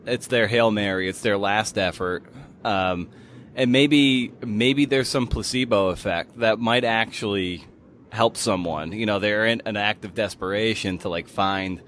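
Low-voiced man chatting over faint background noise. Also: unhurried, a bit bright. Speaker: podcast host, interviewer. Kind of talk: casual conversational interview.

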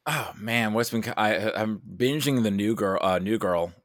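A man's voice: fairly low pitch, moderate pace, no echo, studio quality. A man chatting casually as a podcast guest, rambling and self-deprecating, sounding a bit tired. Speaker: podcast guest, writer and creative producer. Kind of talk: casual chat.

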